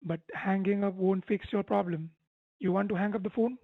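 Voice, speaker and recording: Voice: medium pitch, fairly even intonation, moderate pace, no room sound, phone-quality sound. Dry-sounding phone support agent. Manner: instructing, repeatedly seeks agreement. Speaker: tech support phone scammer. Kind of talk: scripted-sounding persuasive phone support talk. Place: phone call, music behind.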